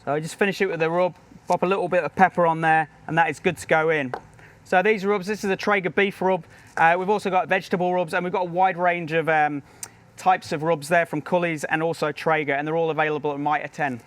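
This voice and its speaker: A man's voice: a little high, fairly even intonation, fairly quick, a bit bright. A man with a British accent, a professional chef giving a cooking demonstration at a barbecue grill in an upbeat, chatty way.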